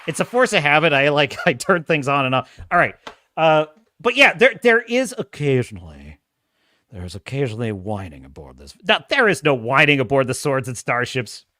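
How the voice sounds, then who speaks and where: A man's voice: medium pitch, big pitch swings, normal speed, sharp tone, no echo. A man hosting a casual livestream, chatting and reading viewer comments, with a self-described whiny, nerdy voice, rambling and laughing often. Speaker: host.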